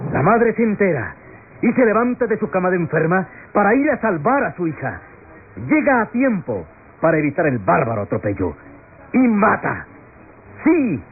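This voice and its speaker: An actor playing an impassioned defense lawyer in a classic Latin American radionovela, declaiming solemnly with rhetorical pauses. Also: male voice, a little high, a little hiss or hum. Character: impassioned male defense lawyer pleading in court. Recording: tinny vintage radio drama, music behind.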